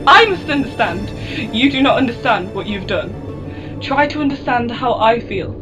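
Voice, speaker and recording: A woman's voice: a little high, expressive, normal speed, music in the background, lightly acted. A woman playing a young female companion who speaks emotionally and reproachfully in an amateur audio drama. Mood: appalled.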